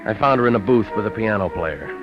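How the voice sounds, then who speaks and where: An actor playing a wisecracking private detective, deadpan and clipped, narrating his case in a vintage radio noir drama. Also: on the low side, fast talker, over music, lightly acted. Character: wisecracking, hard-boiled male private detective. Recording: dull vintage radio recording.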